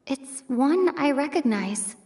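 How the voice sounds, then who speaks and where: High-pitched, pondering young adventurer. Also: female voice, expressive, a little mellow, far from the mic, faint music behind, full character voice. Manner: deliberate, pondering. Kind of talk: video game voice acting.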